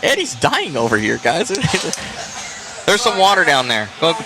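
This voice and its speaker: A man's voice: a little high, wildly swooping pitch, slow, over loud music, rough recording. A tired man hosting a livestream, chatting loosely and in a friendly way to wrap up an event at an indoor race track. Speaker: livestream host. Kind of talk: casual end-of-event chat.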